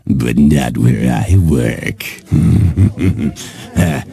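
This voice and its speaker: An unbothered, grisly night foreman for a game cutscene. The voice is extremely low, gravelly and slow. He states everything matter-of-factly, deadpan. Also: exaggerated voice.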